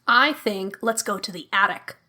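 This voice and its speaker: A woman playing a solo tabletop game as guest, expressive and playful, laughing often. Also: mid-range voice, moderate pace. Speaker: player, guest. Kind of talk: casual play and improvised storytelling. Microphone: remote call with headphones.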